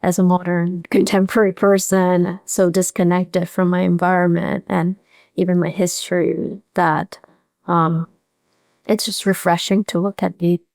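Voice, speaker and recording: A woman painter, a native Korean speaker, answering interview questions thoughtfully with many pauses and fillers. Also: fairly low pitch, little pitch movement, vocal fry, darkish tone, no echo.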